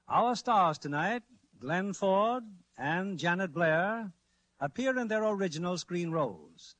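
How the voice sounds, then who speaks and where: Male 1940s radio host: high for a man, lightly husky, formal scripted delivery. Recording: vintage 1940s radio broadcast.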